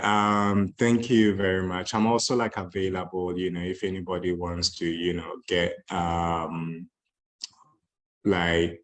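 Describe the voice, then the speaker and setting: A man giving a relaxed talk with many fillers, speaking on a video call. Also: low-pitched. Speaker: conference speaker on moving to Ghana. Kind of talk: casual talk.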